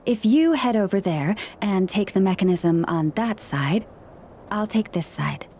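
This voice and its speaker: A woman voicing a calm, composed young woman who guides others with the help of animal helpers, in a video game cutscene. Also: mid-range voice, measured pace, a little hiss or hum. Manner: calm and reassuring.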